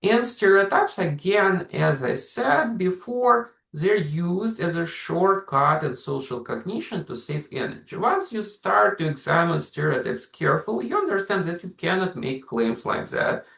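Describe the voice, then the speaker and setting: A man's voice: high-pitched, big pitch swings, measured pace, gravelly, hardly any pauses, pushed and bright, roomy sound. A man from Russia with a Russian accent, giving an explanatory university psychology lecture and often saying "so" and "like". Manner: explanatory, uses frequent "so", "uh" and "like".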